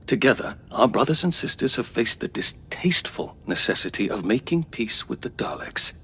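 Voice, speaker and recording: Casting: a fervent Time Lord envoy for peace, oratorical and persuasive, very animated intonation, radio drama. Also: male voice, medium pitch, normal speed, slight background noise. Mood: fervent, proud. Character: earnest, zealous alien Time Lord peace negotiator. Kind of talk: audio drama acting.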